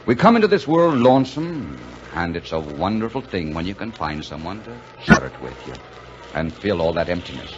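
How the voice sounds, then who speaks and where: An actor playing a kindly, gruff, lonely truck driver in folksy 1940s radio drama style. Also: male voice, deep voice, big pitch swings, normal speed. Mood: wistful. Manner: folksy, plainspoken. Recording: muffled, noisy 1940s radio recording.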